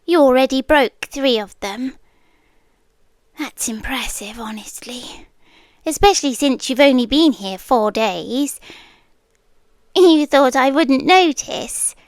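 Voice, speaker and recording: A woman acts out a possessive sea spirit girlfriend with a high British voice. She is sweetly menacing and coaxing, often sinking into breathy whispers. The delivery is very unhurried, close and dry on the microphone, in the manner of ASMR roleplay. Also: lively intonation, dry recording, exaggerated voice. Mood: coaxing, threatening.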